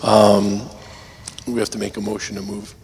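Gravelly, hoarse man chairing a meeting. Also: fairly low pitch, monotone, moderate pace, a little mellow. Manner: procedural, with throat clearing. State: losing his voice. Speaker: presiding council chair. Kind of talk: chairing a public hearing. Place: council chamber. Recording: echoey chamber, faint noise.

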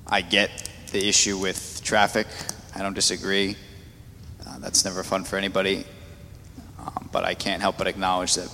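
Low flat guy on the council, kinda bright voice, lots of uhs, echoey room. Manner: halting, with many fillers and restarts. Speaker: city councilman. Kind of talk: public meeting remarks. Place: council chamber. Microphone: meeting microphone. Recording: echoey chamber, faint noise.

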